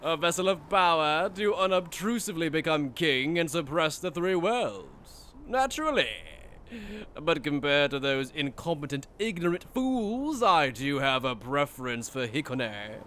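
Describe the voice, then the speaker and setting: A man's voice: fairly high pitch, very animated, measured pace, fairly bright tone, a little hiss or hum. A man playing a cruel, mocking aristocratic villain in an anime fan dub, with pompous, condescending, theatrical delivery. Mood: coldly disdainful. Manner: pompous, theatrical, sneering formal speech.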